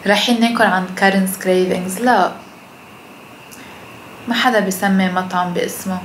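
A woman's voice: mid-range voice, steady tone, a little fast, low-quality recording. A young adult woman with a Lebanese Arabic accent chats casually to camera as a food vlogger, mixing English phrases in and speaking bluntly. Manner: blunt, swears freely. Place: indoors at home, kitchen and living room. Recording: clear background noise.

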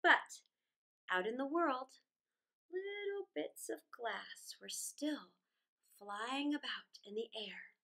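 Middle-aged woman, children's storyteller, high bright voice, theatrical delivery, deliberate dramatic pauses. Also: lively intonation, creaky. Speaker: storyteller reading aloud for children. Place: small indoor room against a plain wall.

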